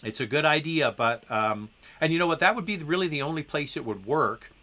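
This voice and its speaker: A man hosting a live question session, reading out viewer messages and answering them in a loose, conversational way full of ums and restarts. He is congested from a head cold, and his voice comes across bright and a little tense. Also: on the high side, lively intonation, a little fast. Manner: reads viewer messages aloud. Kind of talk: live stream Q&A.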